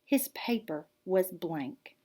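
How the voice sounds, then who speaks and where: A woman reading a children's picture book aloud in an expressive, deliberate storytelling manner. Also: fairly low pitch. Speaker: art teacher reading aloud.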